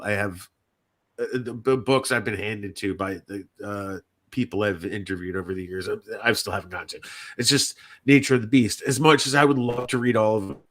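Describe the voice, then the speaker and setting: A man's voice: fairly low pitch, fairly bright tone. A man hosting a podcast in casual chat, talking in a rambling, repetitive, sweary and self-deprecating way. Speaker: podcast host and indie fiction writer.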